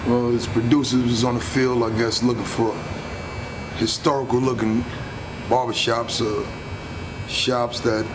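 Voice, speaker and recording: A man's voice: medium pitch, level tone, a little slow, pauses often. A middle-aged man, a barbershop owner talking casually in a busy shop, chuckling as he goes. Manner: laid-back street talk, chuckling. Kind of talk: casual interview. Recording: loud crowd noise in shop. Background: crowd chatter.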